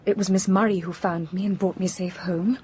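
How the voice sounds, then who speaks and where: A woman's voice: mid-range voice, fairly even intonation, a little fast, a little mellow, a little hiss or hum, lightly acted. An actress playing a genteel, frail young Victorian lady in an audio drama, brave but weary and hesitant. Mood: uneasy. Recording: faint background ambience.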